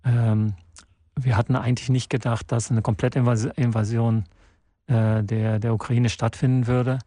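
A man born in Portugal and raised in the Netherlands, guesting in a radio studio and answering haltingly with many restarts and fillers. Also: medium pitch, little pitch movement, mellow, dry recording. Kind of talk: radio interview.

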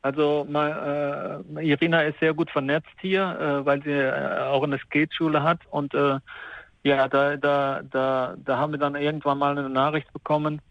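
A man from the Netherlands, speaking German haltingly with many fillers and restarts in a remote interview. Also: fairly high pitch, little pitch movement, no echo, dull audio. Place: apartment.

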